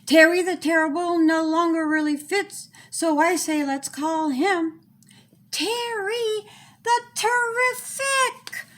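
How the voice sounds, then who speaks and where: A woman's voice: very high-pitched, takes it slowly, fairly bright tone, voice pushed up, exaggerated voice. A woman voicing a small, earnest storybook child who reasons plainly with others. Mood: encouraging.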